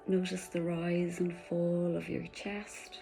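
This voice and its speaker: Woman meditation guide, soft-spoken with breathy whispers, dark flat delivery. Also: on the low side, takes it slowly, soft background music.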